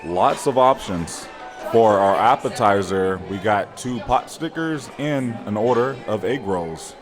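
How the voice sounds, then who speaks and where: Male vlogger, slightly raspy, chatty and upbeat, recorded outdoors at a park. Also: lowish voice, animated, unhurried, music in the background. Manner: enthusiastic, chatty. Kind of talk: upbeat vlog narration.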